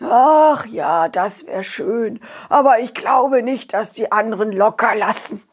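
A woman voicing a small, sad old pebble with breathy sighs and slow, plaintive, resigned speech. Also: fairly high pitch, animated, full character voice. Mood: wistful, resigned. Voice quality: breathy, sighing.

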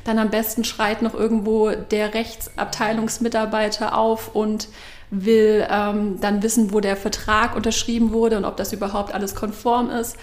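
A woman in her thirties from Swabia, a startup co-founder explaining her work at length in a measured way during an interview. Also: medium pitch, flat delivery, echoey room. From Swabia, Germany. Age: 30s. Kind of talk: interview answers.

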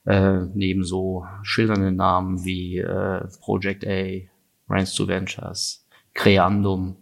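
Male podcast host, lowish pitch with plenty of fry, informal and enthusiastic interviewer. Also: completely flat delivery, slow pace. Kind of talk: conversational interview.

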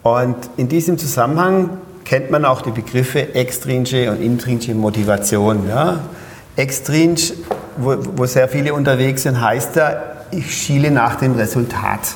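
Gravelly Swabian man, explaining. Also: medium pitch, moderate pace, echoey room, in a large hall, slight background noise. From Pforzheim, Germany. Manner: explains step by step with frequent "ja?" tags. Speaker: guest speaker and coach. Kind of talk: explanatory interview talk.

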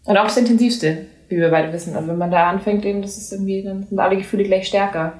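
A young woman, a singer-songwriter, chatting in an interview, lively and full of fillers and restarts. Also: medium pitch, fast talker, echoey room. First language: German. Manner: animated, many fillers and restarts, laughs easily. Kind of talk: casual interview chat.

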